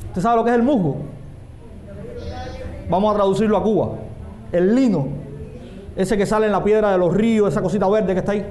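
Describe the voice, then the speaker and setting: A man's voice: high voice, a little fast, pauses often, dark tone. A man preaching a sermon, emphatic and often asking the congregation questions. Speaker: pastor. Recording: echoey room with faint noise.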